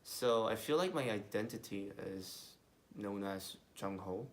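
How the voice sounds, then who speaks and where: A man's voice: lowish voice, expressive, a little slow. A man, answering interview questions in an earnest, plain way. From Korea. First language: Korean. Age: 20s.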